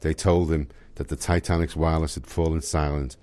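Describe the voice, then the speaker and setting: A man narrating a radio drama from a script in a solemn, measured manner. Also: a bass voice, flat delivery, mellow.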